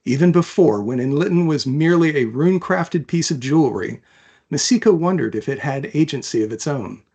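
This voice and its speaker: A man's voice: fairly high pitch, lively intonation, brisk, a little mellow, breathy edge. A man reading his own fantasy novel aloud as dramatic, scripted narration.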